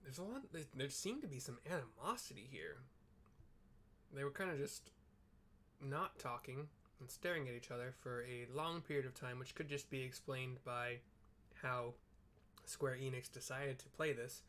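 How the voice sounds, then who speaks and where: A man's voice: medium pitch, normal speed, fairly bright tone. A man giving casual, rambling gameplay commentary as a streamer, explaining things informally as he thinks aloud. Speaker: video game streamer.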